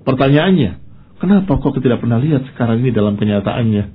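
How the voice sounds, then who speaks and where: A man's voice: mid-range voice, animated, fast talker, husky, narrow, tinny audio. A man giving an Islamic sermon in a didactic, emphatic way, repeating key points and posing questions that he answers himself. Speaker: Islamic preacher. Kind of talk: sermon, religious lecture.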